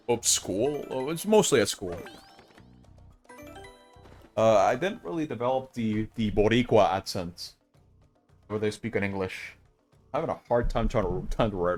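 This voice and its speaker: A man from Puerto Rico whose first language is Spanish, casually commenting while playing a game and thinking aloud. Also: lowish voice. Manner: relaxed, chatty, thinking aloud. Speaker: streamer.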